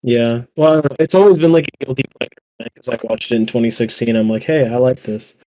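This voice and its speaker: Male podcast guest, medium pitch, informal storyteller, slangy and sweary. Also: quick pace, dark tone, low-quality recording. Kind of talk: casual chat, anecdotes.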